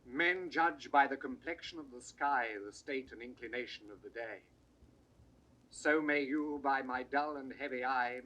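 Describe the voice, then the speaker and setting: An actor playing a solemn lord bearing grim news, speaking classical verse in a formal, measured manner. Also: male voice, medium pitch, sharp tone, low-quality recording. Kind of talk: classical Shakespearean verse acting.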